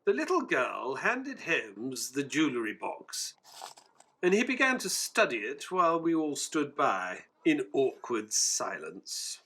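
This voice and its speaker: An actor playing an affable, mild-mannered Victorian doctor who narrates a detective audio drama and joins in the dialogue. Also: male voice, medium pitch, wildly swooping pitch, a little slow, pushed and bright, breathy edge, exaggerated voice. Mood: narrating.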